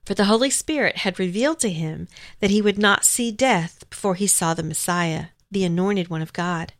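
A woman's voice: fairly low pitch, normal speed, no echo. Woman co-hosting devotional program, close dry sound. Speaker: program co-host. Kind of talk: devotional talk and scripture reading.

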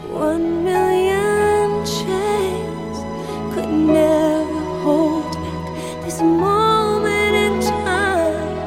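High, slow female singing over music. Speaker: singer. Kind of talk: slow, lullaby-like nativity song.

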